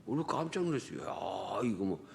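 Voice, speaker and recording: A man's voice: on the low side, very animated, normal speed, vocal fry, slight background noise. A male pastor with a Chungcheong Korean accent preaching a dawn prayer sermon in a conversational manner, full of rhetorical questions and dialect jokes. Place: church sanctuary. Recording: long church hall reverb.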